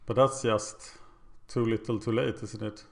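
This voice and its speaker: A man's voice: lowish voice, fairly even intonation, unhurried, gravelly. A man from Sweden with a Swedish accent, casually commenting on his own card game as he plays, thinking aloud and self-deprecating.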